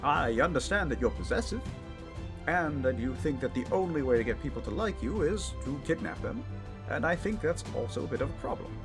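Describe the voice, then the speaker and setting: Guy doing a superhero for a comedy audio roleplay, kinda high raspy voice, bouncy intonation, cocky but well meaning, rambles casually. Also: lively intonation, brisk, over music. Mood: earnest scolding. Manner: heroic bluster sliding into casual, earnest rambling. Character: famous male superhero, self-assured, chatty, well-meaning but blundering.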